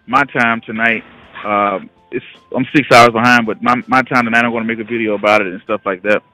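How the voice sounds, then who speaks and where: A man's voice: medium pitch, fairly even intonation, fairly quick, pushed and bright, no room sound, faint music behind, rough recording. A man talking casually and in a rambling way as a guest investing educator on a conference call. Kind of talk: casual conversational talk.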